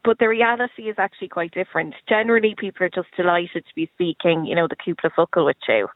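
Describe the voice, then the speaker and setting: An Irish woman in her thirties or forties, talking with a Kerry accent. She chats as a guest in a lively, animated way and often breaks off and restarts her sentences. Her voice comes through a tinny phone line with no room sound at all. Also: fairly low pitch, quick pace, no echo. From Ireland. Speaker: guest comedian. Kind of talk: casual chat. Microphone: phone call.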